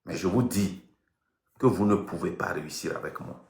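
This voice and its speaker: A man's voice: low-pitched, normal speed, creaky, darkish tone, reverberant. A man in his fifties from Togo, speaking French with a Togolese accent, giving a defiant, impassioned live-stream rant. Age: 50s. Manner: mixes French with local language, defiant and emphatic. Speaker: online activist host.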